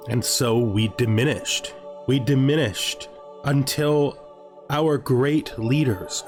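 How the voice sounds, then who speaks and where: Man acting as proud vampire commander, zealous, grandiose oratory, medium pitch, roleplay monologue, faint music. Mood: grave reverence. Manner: solemn, grandiose, measured oratory. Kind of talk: dramatic in-character roleplay monologue. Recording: music behind the voice.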